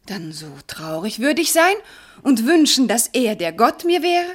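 An actress playing a devout, loving and bewildered wife, speaking classical verse fervently and hesitantly in a radio drama. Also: female voice, on the high side, very animated, unhurried. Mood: sorrowful, tender.

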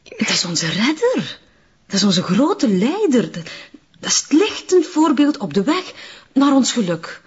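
A gullible, devoted female sect member with a Flemish accent. Her voice is breathy and very animated, exalted in radio drama style, on a slightly dull recording. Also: a little high, a little slow, exaggerated voice. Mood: rapturous. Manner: exalted, indignant, hesitating over words.

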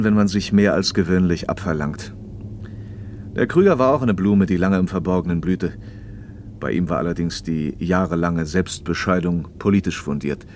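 Deep-voiced, measured factory manager. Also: male voice, a little mellow, a little hiss or hum. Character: socialist factory manager, paternal, probing, talkative male official. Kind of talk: naturalistic German radio play dialogue.

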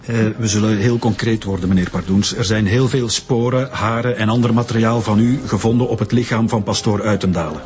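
An actor with a Flemish accent playing a stern, demanding police chief in a radio drama, direct and insistent. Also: male voice, lowish voice, steady tone, a little fast, hardly any pauses, a little mellow, lightly acted. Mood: pressing, stern.

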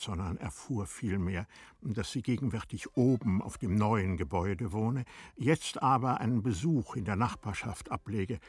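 A man narrating a classic literary radio drama in a measured, formal storytelling manner. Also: on the low side, breathy edge.

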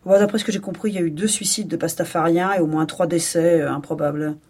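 An actress playing a composed, dryly authoritative police chief in a French audio drama, speaking in a measured, businesslike way. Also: female voice, fairly low pitch, steady tone, a little mellow, husky. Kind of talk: French audio fiction acting.

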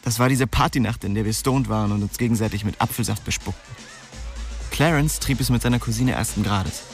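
A man's voice: fairly low pitch, a little fast, close to an everyday voice. An actor playing a cocky, restless young man who narrates his story in a slangy, streetwise radio drama style. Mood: cheeky, indignant. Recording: music behind the voice.

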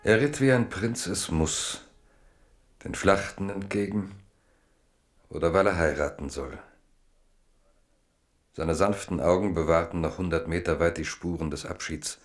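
A man narrating a 1970s literary radio play in a measured, lyrical manner. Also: low voice.

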